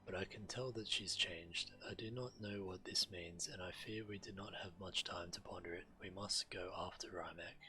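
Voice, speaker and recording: An actor playing a grave, urgent dragon leader in a video game, speaking with earnest, measured urgency. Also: male voice, low-pitched, fairly even intonation, pushed and bright, a little hiss or hum, rough recording. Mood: solemn, urgent. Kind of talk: dramatic video game dialogue. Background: game music.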